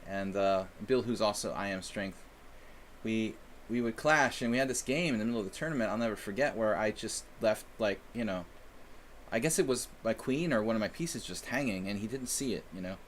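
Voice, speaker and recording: A man's voice: fairly low pitch, brisk, a little hiss or hum. A man from the United States streaming chess and chatting casually with viewers in a rambling, self-deprecating way. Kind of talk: casual live-stream chat.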